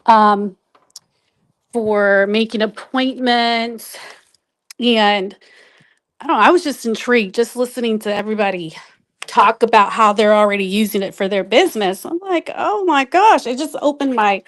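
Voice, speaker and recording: Slightly high-voiced woman guest, dry sound. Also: moderate pace, dry recording. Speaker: guest on a live online show. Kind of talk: casual explanatory chat.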